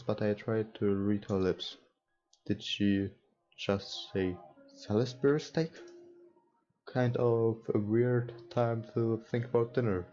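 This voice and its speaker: A non-native English-speaking man hosting a let's play, reading game text aloud and commenting casually in a hesitant, halting way. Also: on the low side, dark tone. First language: not English. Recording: dull lo-fi mic, music behind. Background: game music.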